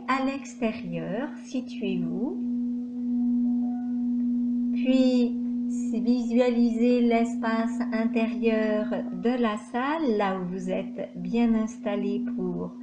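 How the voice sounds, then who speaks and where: A woman leading a yoga nidra guided meditation as instructor, giving measured instructions in short phrases with pauses between them. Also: a little high. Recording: dull lo-fi recording with loud background noise.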